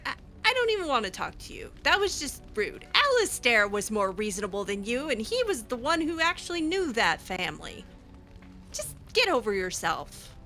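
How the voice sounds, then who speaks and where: A woman's voice: a little high, big pitch swings, moderate pace, pushed and bright, soft background music. A woman improvising in character over a fantasy game, speaking casually with a hesitant, sassy manner. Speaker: game streamer roleplaying her character.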